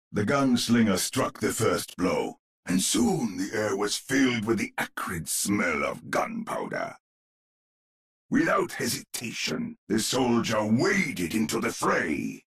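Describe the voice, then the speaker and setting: An actor playing a grim narrator of gothic horror tales in a video game, with a gravelly, husky voice and grandiose, suspenseful delivery. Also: male voice, medium pitch, fairly bright tone, full character voice. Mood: tense, suspenseful. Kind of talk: theatrical gothic horror storytelling.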